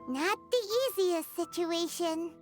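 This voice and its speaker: A woman voicing a tiny cheerful childlike fairy companion in an anime-style video game, chatty and exclamatory, with a squeaky voice. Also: takes it slowly. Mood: pensive. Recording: loud game music behind the voice.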